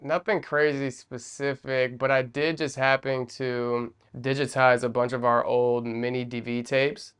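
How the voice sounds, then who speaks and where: A man's voice: mid-range voice, level tone, measured pace, few pauses, fairly bright tone, smooth. A man in his twenties with an American accent, chatting casually as a podcast guest. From Virginia, United States. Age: 20s. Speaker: podcast guest, film editor. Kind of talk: casual chat.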